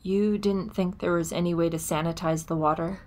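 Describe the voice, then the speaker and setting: A woman's voice: lowish voice, fairly even intonation, normal speed, a little mellow, lightly acted. A woman playing a serious, probing interviewer in a dramatised documentary.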